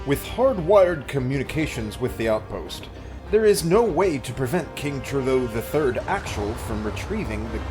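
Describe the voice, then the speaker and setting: Man as theatrical serial narrator, scripted and mock-grand, very lively intonation over prominent music. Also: fairly high pitch.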